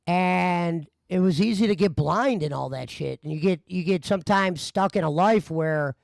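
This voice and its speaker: A man's voice: sits high, moderate pace, vocal fry, no echo. A man in his forties hosting a casual late-night chat over a video call, telling loose, laid-back stories. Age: 40s.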